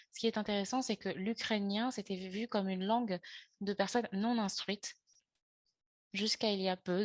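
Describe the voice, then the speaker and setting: A woman presenting in a webinar over a video call, speaking haltingly with frequent fillers and self-corrections. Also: mid-range voice, a bit bright, no room sound. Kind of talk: spoken lecture in a webinar.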